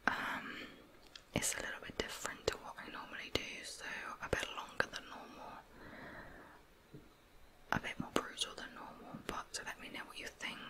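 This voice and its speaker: Female ASMR host needed for true crime narration, delivered entirely in a breathy whisper with an informal, explanatory touch. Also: low-quality recording. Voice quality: unvoiced whisper.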